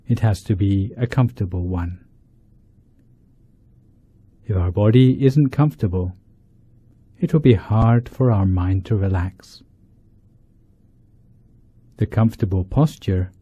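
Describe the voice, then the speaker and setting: A man, a monk leading a guided meditation, speaking in deliberate short phrases with pauses between them. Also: on the low side, mellow, breathy edge. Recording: dull lo-fi, roomy echo.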